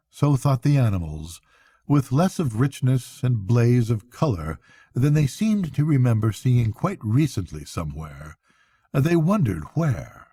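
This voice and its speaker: An older man narrates a children's bedtime story. His voice is soft-edged and mellow, sitting at an average pitch for a man. The recording is dry, with little room sound. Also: breathy edge, no echo.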